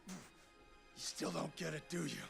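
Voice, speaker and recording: An actor playing a smug, ruthless agency villain in a video game, with a taunting, condescending manner. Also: male voice, a little high, moderate pace, vocal fry. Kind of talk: cinematic video game acting. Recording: faint music under the voice.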